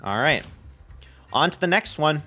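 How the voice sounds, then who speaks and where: A young man hosting a game stream, giving lively, excitable play-by-play and laughing often with his co-host. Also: fairly high pitch, measured pace. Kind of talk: casual game commentary.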